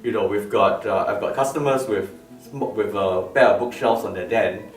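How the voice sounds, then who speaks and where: A man's voice: lowish voice, normal speed. A man giving an informal product talk as a designer, explaining emphatically with repeated words and rhetorical questions, recorded in a room. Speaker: loudspeaker designer presenting his product line. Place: medium-sized living-room-like room indoors. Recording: roomy, distant mic with music behind. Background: plucked lute music.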